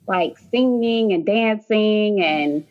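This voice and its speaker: A woman's voice: medium pitch, takes it slowly, lo-fi sound. A young woman from Haiti, a nurse practitioner, chatting as a podcast guest with many "um"s and "like"s. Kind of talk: casual interview.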